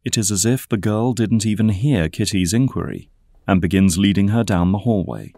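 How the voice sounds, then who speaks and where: A man's voice: on the low side, lively intonation, moderate pace, vocal fry, mellow, no echo, studio quality. A man narrating a scripted audio drama as its storyteller.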